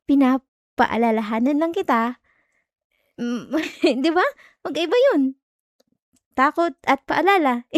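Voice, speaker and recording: A woman acting out an obsessive, possessive stalker in an ASMR roleplay, coaxing and menacingly sweet, with halting stammers and giggly veiled threats. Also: high-pitched, no echo, studio quality. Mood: sweetly menacing.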